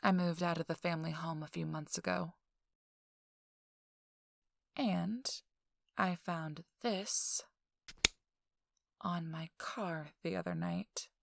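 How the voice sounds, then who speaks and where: A woman's voice: fairly low pitch, fairly even intonation, vocal fry. A woman playing a feisty, self-assured young socialite in an intimate ASMR audio roleplay, teasing and dryly sarcastic, sometimes dropping toward a whisper. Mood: wry, confiding. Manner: slow, teasing, dry sarcasm, drifting into whispers.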